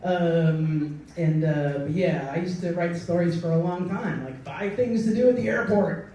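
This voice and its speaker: A woman's voice: lowish voice, unhurried, a crowd in the background. Woman, stage host, wry self-mocking jokes, frequent laughs, distant mic, audience around. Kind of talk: stand-up style hosting at a live story slam. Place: live stage before an audience. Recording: echoey room, mic from far, audience.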